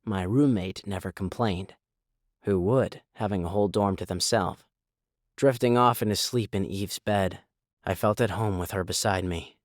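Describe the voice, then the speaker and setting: An actor playing a weary, brooding oil rig worker in a survival horror audio drama, in a subdued, melancholic way. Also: male voice, sits low, normal speed, no room sound, clean studio sound, natural voice. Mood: reflective. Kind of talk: audio drama acting with first-person narration. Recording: music under the voice.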